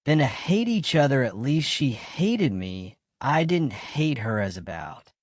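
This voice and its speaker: Guarded, bitter male widower, radio-play drama. Medium pitch, reflective, halting, close dry sound. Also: big pitch swings, no echo. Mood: reflective, regretful. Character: guarded, bitter widower confessing a failed marriage. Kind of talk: audio drama dialogue.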